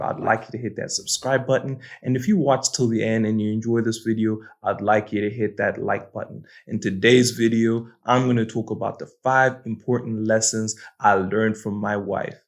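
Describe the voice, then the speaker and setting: A man's voice: on the low side, steady tone, normal speed, few pauses. A young man from Malawi hosting his own channel, talking through life lessons in an earnest, reflective way and often repeating words as he thinks. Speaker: host. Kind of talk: casual reflective monologue.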